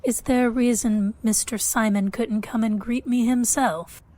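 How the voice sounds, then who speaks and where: An actress playing a self-assured, commanding high-born woman who speaks formally in an audio drama. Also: fairly even intonation, unhurried, dark tone, natural voice. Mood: cool, skeptical.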